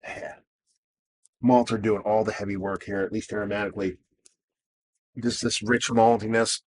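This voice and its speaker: Hoarse guy in his 30s rambling through a beer review, kinda low voice, lots of ums. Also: a little hiss or hum. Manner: rambling and self-deprecating, many fillers. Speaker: beer reviewer and show host. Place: outdoors.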